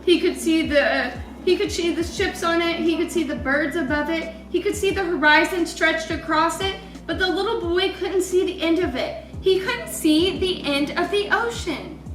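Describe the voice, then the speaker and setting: High-pitched woman reading aloud, echoey room. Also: normal speed, hardly any pauses, a bit bright, distant mic, soft background music. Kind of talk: expressive read-aloud storytelling for children.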